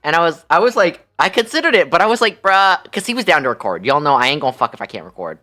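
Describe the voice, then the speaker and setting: A man's voice: fairly high pitch, big pitch swings, fast talker, bright, forceful tone. A man chatting casually on a livestream in a sassy, campy, slangy way peppered with swearing. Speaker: live streamer.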